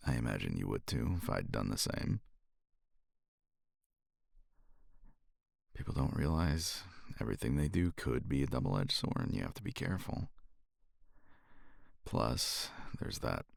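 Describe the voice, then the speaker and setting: A man playing a cocky, flirtatious competitor in an intimate romance ASMR roleplay, murmuring teasingly with a gravelly, husky, breathy voice close to the microphone. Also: very deep voice, big pitch swings, no room sound, exaggerated voice. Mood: wry, curious. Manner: teasing, unhurried, murmuring with sighs and pauses.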